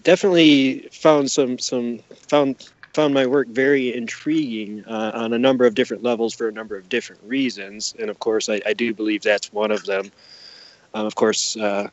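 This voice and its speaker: Male podcast guest, gravelly fry, earnest and wandering explainer, casual interview delivery. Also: mid-range voice, normal speed, highs cut off.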